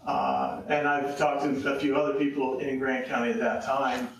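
A tired man addressing a county council from a podium, explaining at length with many filler pauses. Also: mid-range voice, flat delivery. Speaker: public commenter on tax policy. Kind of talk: explaining at a public meeting. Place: county council meeting room. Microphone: podium mic, heard from a distance. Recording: echoey room, far from the mic.

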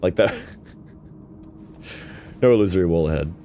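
A hoarse man whose voice is shot, casually chatting and teasing his co-host while they play a video game. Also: low-pitched, measured pace, dark tone, slight background noise. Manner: teasing, swears freely, laughs often. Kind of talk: casual gaming chat.

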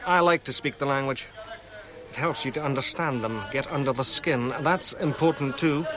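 An actor playing a friendly, easygoing companion to an archaeologist in a radio drama, slightly hesitant in manner. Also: male voice, medium pitch, moderate pace, lo-fi sound, crowd noise, lightly acted. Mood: warm, defending.

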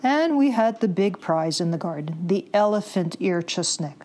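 A woman's voice: lowish voice, expressive, moderate pace, a little mellow, slight background noise. Woman, middle-aged, from Canada, casual reminiscing tone, personal anecdote. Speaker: listener contributor.

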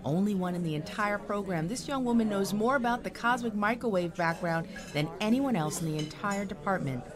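A woman's voice: mid-range voice, lively intonation, brisk, music in the background, lightly acted. A woman playing a passionate, caring professor in a naturalistic audio drama. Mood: earnest, concerned.

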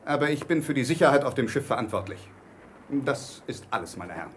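An actor playing a stern, blunt ship's captain, speaking formally and firmly in a German radio play. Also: male voice, mid-range voice, animated, a little hiss or hum, lightly acted. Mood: grave, firm. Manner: formal, firm, measured.

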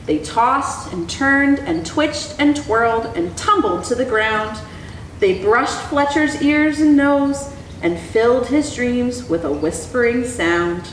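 Unhurried, animated young woman reading a storybook. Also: a little high, slight background noise. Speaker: zoo educator reading aloud. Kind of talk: expressive children's storybook reading. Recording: echoey room, clear background ambience.

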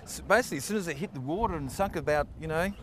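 A young man with a slightly raised voice fishes from a small boat at sea. He bursts in with short, excited remarks, and faint engine and water noise carries under him. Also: fairly high pitch, racing. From Australia. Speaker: fishing co-host. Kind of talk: casual banter while fishing.

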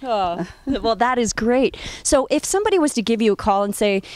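An upbeat woman in her thirties hosts a promotional interview, her voice lifting brightly on her questions. She speaks into a handheld mic outdoors, and the sound is dry and close. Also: on the high side, animated, fairly quick, dry recording. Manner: upbeat, salesy, upward lifts on questions. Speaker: company host interviewing a customer. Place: outdoors in front of a house.

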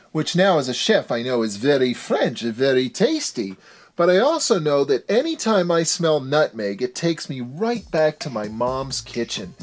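A man in his forties hosting a cooking show, talking with playful enthusiasm straight to the camera. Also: fairly high pitch. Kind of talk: scripted cooking show presenting. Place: home kitchen set and grocery store. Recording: music bed behind voice. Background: background music.

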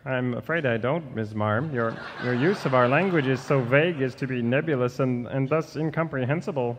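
An actor playing a fussy, literal-minded grammar stickler in a radio comedy sketch, speaking with precise, formal diction. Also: male voice, mid-range voice, normal speed, long reverb tail, slight background noise, lightly acted.

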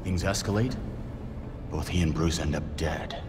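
A man's voice: rumbling low, moderate pace, noisy background. A man acting a young, earnest, plain-spoken vigilante hero in a dramatic video game cutscene. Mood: grave. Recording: hall reverb with music behind. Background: music.

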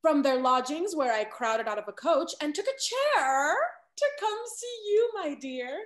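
A woman acts out a young Restoration gentlewoman, high-voiced and slow to deliver each line. She is flustered and scheming, with an arch, theatrical manner fit for stage comedy. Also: lively intonation. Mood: airy bluffing. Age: young adult. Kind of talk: Restoration comedy stage acting over video call. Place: small room at home. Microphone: video call.

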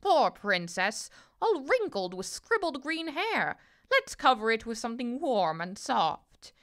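A fussy mother bird from a storybook, acted out by a woman, high and squawky. She exclaims with kindly concern and lots of lift in the voice. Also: big pitch swings, a little slow, a bit bright. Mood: kindly, motherly. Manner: exclaiming, fussing. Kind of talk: children's storybook character voice.

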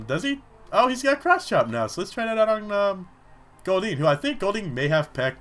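A man's voice: medium pitch, bright, forceful tone. A young man giving casual, rambling let's-play commentary, chatting between battles with a sore throat. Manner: rambling, chatty, with many fillers. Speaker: gaming commentator.

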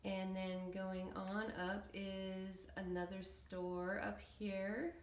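A woman's voice: mid-range voice, flat delivery, slow. A woman giving a casual, hesitant, meandering walkthrough commentary of a game build. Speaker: video creator showing game settlement builds. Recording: roomy, echoey room sound.

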